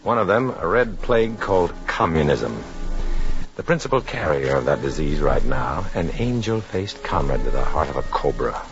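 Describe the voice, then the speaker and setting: An actor playing a tense undercover infiltrator, narrating in a clipped, dramatic 1950s radio drama style. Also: male voice, rumbling low, big pitch swings, normal speed, full character voice. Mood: grave, ominous. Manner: clipped, dramatic, hard-boiled delivery. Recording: muffled 1950s radio recording with music.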